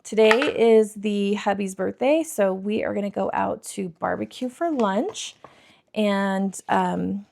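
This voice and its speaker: A woman in her thirties with a croaky, scratchy throat, chatting to viewers as she counts cash for savings challenges. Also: mid-range voice, slow pace, a little mellow. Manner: bubbly, addresses viewers as friends, counts money aloud. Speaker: budgeting vlogger.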